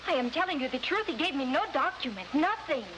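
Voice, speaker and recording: A woman's voice: high voice, expressive, fast. An actress playing an earnest, anxious young woman in a 1950s TV drama, speaking in formal, pleading phrases. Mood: anxious denial. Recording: noisy, hissy 1950s TV soundtrack.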